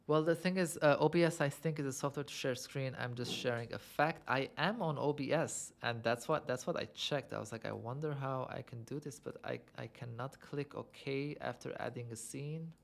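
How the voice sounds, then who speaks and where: A man's voice: medium pitch, normal speed, vocal fry, hardly any pauses. A young man from Lebanon hosting a casual livestream, answering viewer questions in a chatty, self-amused way and thinking aloud with many fillers. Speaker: livestream host.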